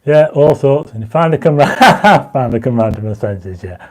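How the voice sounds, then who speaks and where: A man hosting a casual livestream, reading out viewer comments and pushing for likes, his mouth dry and his voice going croaky. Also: mid-range voice, lively intonation, normal speed. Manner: reads viewer comments aloud, urges likes; enthusiastic when explaining stars. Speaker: livestream host. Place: home astronomy studio.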